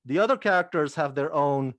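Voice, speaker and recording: A man interviewing an actress, asking relaxed, friendly questions. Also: fairly high pitch, fairly quick, dry recording.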